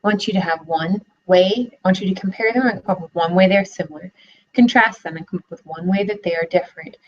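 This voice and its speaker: A woman's voice: fairly low pitch, quick pace, muffled recording. A young woman student teacher giving a read-aloud lesson for children, asking encouraging questions, recorded on a laptop webcam in a living room.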